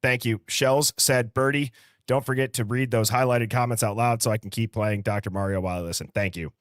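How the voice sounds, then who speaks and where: A man's voice: medium pitch, fairly even intonation, brisk, no echo, clean studio sound. An American man in his early thirties hosting a livestream, chatting casually, reading out viewer comments and drifting into conversational asides. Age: early 30s. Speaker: livestream host.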